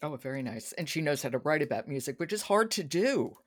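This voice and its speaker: A woman's voice: low voice, very animated, brisk. A woman hosting a conversational podcast about books. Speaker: podcast host.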